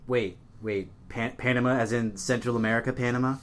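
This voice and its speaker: A man's voice: medium pitch, steady tone, fairly quick. A young man co-hosting a comedic podcast, dry and hesitant with frequent stammers. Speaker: podcast co-host.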